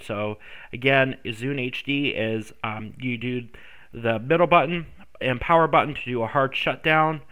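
A man's voice: mid-range voice, sharp tone, clean tone. A congested man hosting a screen-recorded tech tutorial, rambling with many ums and self-corrections. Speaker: tutorial host.

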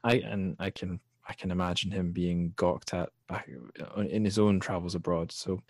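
A man hosting a podcast, talking through books in a casual, halting and thoughtful way. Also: deep voice, level tone, darkish tone, no echo, studio quality. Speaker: podcast host. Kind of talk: casual literary discussion.

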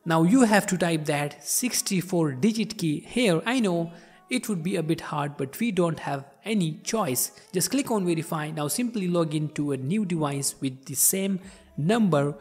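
A man's voice: on the high side, darkish tone, slightly rough, in a large hall. A man hosting a tech channel, reading a scripted step-by-step tutorial voice-over in an upbeat, promotional way and addressing viewers directly.

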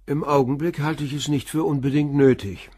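Husky, composed police detective. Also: male voice, fairly high pitch, fairly even intonation, moderate pace, darkish tone, dry recording, clean studio sound. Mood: calm, probing. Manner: matter-of-fact, inquisitive. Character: probing, composed male police detective questioning a witness. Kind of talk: German crime radio play acting.

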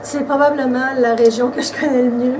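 A woman in her forties from Quebec with a Québécois French accent, answering interview questions in a relaxed, casual way. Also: sits high, brisk. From Quebec, Canada. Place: indoors by a brick wall. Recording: distant camera mic with background hiss.

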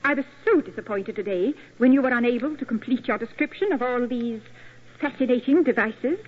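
An actress playing a prim, bold English spinster in an old-time radio drama. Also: female voice, a little high, expressive, a little fast. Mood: gushing, flattering. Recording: tinny, scratchy old radio recording.

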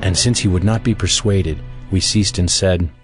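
Low-voiced male Bible narrator. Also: moderate pace, over music. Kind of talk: scripted narration of dramatized audio Bible.

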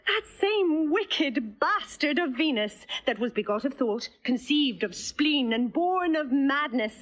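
A woman's voice: high voice, animated. A woman playing a witty young woman in love. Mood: passionate. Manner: playful, quick-witted, teasing. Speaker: actor playing Rosalind. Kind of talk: stage drama performance.

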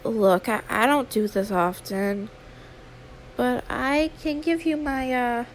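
A woman's voice: a little high, slow pace, a little hiss or hum, rough recording. A woman voicing an anxious, sweet young female YouTuber in an audio roleplay, stammering, awkward and apologetic. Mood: awkward, kind. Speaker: ASMR roleplay performer. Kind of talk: one-sided ASMR audio roleplay.

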